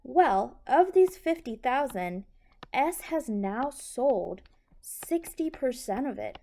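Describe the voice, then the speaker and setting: Slow-talking woman teaching step by step. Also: sits high, very animated, mellow, a little hiss or hum. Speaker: accounting instructor. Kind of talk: step-by-step tutorial explanation.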